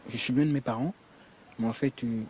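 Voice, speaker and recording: A man's voice: medium pitch, fairly even intonation, fairly quick, a little mellow, lo-fi sound. A young man from French Guiana speaks in a mid-range voice as an interviewee. He tells his own story earnestly and emphatically, leaning on filler phrases, over faint background noise. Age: young adult. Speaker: student interviewee. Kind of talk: spontaneous personal account in interview.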